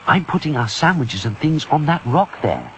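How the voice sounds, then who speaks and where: A man voicing an excitable, adventurous young girl in a children's storybook, with a breezy, exclamatory way of talking. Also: fairly high pitch, normal speed, highs cut off, a little hiss or hum, pitched above the performer's own voice.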